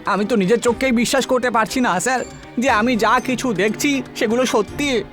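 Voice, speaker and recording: A man voices a timid cartoon police constable. His voice sits high for a man, and he is deferential but reluctant and prone to complain. Soft music plays underneath. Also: brisk. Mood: disbelieving. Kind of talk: cartoon horror story acting.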